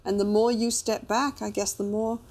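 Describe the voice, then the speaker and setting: Woman playing an encouraging couples therapist, medium pitch. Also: level tone, normal speed, close to an everyday voice. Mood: gently probing. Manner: gently probing, reassuring, rephrases and checks understanding. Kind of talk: role-played therapy session dialogue.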